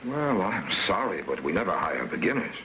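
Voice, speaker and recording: Prim young executive, formal and clipped, swooping pitch, hissy soundtrack. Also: male voice, very animated, normal speed, pushed and bright, full character voice, rough recording. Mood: stiff. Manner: clipped and formal, rising exasperation. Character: stiff, proper young businessman. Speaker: head of a brokerage firm. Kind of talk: 1940s film acting. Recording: hissy 1940s film soundtrack.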